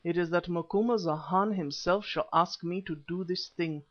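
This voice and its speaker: A man's voice: sits high, moderate pace, a bit bright. A man voicing a proud, aging warrior-diviner with a grave, formal, oratorical manner in an audiobook adventure story. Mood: solemn, proud. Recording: slightly dull, lo-fi audio.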